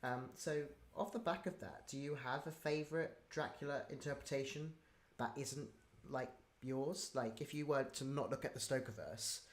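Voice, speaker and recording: A man's voice: medium pitch, steady tone, moderate pace. A man hosting an interview in a casual, chatty way, using loose, informal phrasing. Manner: informal, peppers talk with "like". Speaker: interviewer, host. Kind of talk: casual chat.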